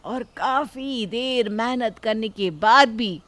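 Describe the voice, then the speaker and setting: A woman's voice: a little high, slow pace, fairly bright tone, no echo. A woman reading scripted narration of a family moral story as storyteller.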